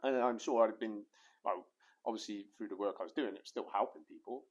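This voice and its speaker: A man's voice: lowish voice, fast talker. A man co-hosting a casual podcast, rambling and often repeating words, with jokey asides. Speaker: podcast co-host.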